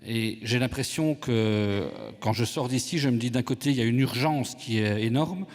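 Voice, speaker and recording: A man's voice: mid-range voice, normal speed. A man whose first language is Italian moderates a panel debate, reflective and searching for his words. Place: conference hall. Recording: long reverb of a conference hall.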